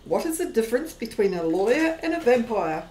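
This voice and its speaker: Lady as a chatty vampire hostess, slow but lively, echoey radio play. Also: on the high side. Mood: hostly, jolly. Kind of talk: amateur radio play acting. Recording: echoey room, faint noise.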